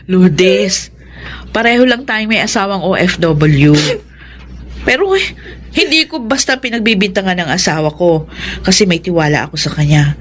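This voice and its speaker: An actress with a Filipino accent playing a busy, trusting wife and shopkeeper, chatty and practical, in radio drama style. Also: female voice, fairly low pitch, lively intonation, moderate pace, slight background noise, lightly acted. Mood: reassuring.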